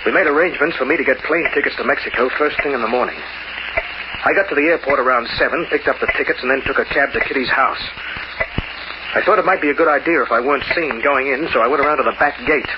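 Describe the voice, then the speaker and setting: An actor playing a wry, laconic, hard-boiled crook in an old radio noir drama. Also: male voice, medium pitch, fast talker, lightly acted. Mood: wry, tense narration. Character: hard-boiled male crook narrating his failed heist, wry, menacing. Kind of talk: 1940s-50s radio noir narration. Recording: noisy, dull old radio recording.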